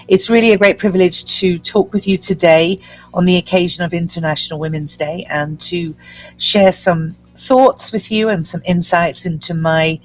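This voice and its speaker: A woman in her fifties, from the UK with an English accent, telling her story as an entrepreneur in a measured, articulate way. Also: low-pitched, low-quality recording. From United Kingdom. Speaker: guest entrepreneur. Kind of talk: prepared personal story.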